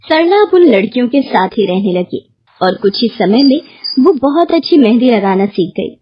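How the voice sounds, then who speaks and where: A woman's voice: a little high, big pitch swings, moderate pace, background noise. A woman storyteller reading scripted story narration on a slightly muffled recording.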